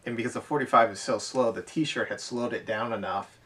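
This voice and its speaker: A man co-hosting a hobby podcast, recorded some way off from the microphone. He talks casually and off the cuff, explaining as he goes in loose, run-on sentences, at a middling pitch. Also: fairly quick, pushed and bright. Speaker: co-host of an airsoft podcast. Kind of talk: casual unscripted chat. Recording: voice distant from the microphone.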